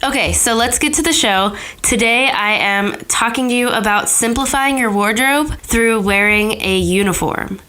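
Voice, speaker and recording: A woman's voice: a little high, normal speed, few pauses, pushed and bright. A young woman hosting a podcast alone, sounding slightly nasal from a cold, chatty and encouraging with small restarts. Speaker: podcast host. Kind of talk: casual podcast monologue.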